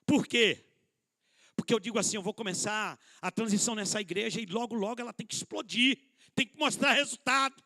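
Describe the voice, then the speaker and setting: A man's voice: sits high, brisk, sharp tone. A middle-aged male pastor preaching a conversational sermon at a church conference, calling the listeners brothers, asking rhetorical questions and joking about himself. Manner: addresses listeners as brothers, rhetorical questions, self-deprecating humor. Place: church hall.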